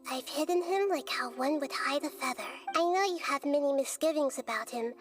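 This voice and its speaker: A woman voicing a gentle, wise, childlike goddess in a video game, speaking in a soft, measured, articulate way. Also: sits high, natural voice. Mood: composed, explanatory. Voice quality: soft, youthful.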